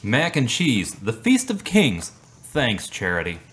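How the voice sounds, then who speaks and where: A man acting a mild, apologetic, dryly self-pitying boyfriend in a low-key radio drama performance. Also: huge pitch swings, takes it slowly. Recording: clearly noisy, clicky amateur recording.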